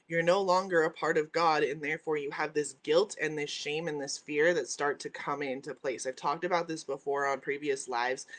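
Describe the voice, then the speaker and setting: A woman hosting a spiritual live stream from home, teaching with emphatic repeated phrases and a habit of asking "right?". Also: low voice. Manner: reads out and answers viewer comments. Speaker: host. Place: at home by a window, with dogs in the house.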